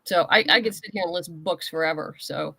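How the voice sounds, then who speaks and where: A woman guest in a casual interview, chatting in a halting way as she thinks aloud. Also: on the low side, creaky, pushed and bright, breathy edge, no room sound. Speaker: interview guest, comics writer and creator.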